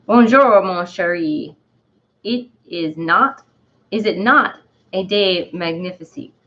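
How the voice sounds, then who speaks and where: A woman voicing an exuberant, self-loving talking dandelion with a put-on French accent, reading theatrically and a little haltingly, as in a storybook. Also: fairly low pitch, highs cut off. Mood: delighted. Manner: theatrical, halting, often tagging phrases with "eh". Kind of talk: dramatic storybook-style reading.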